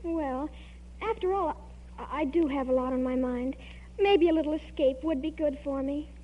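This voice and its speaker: A woman's voice: high-pitched, normal speed, lightly acted. An actress playing an earnest, anxious, movie-struck teenage girl in an old radio comedy, speaking with breathless melodrama. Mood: distressed. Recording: muffled old radio recording, hum.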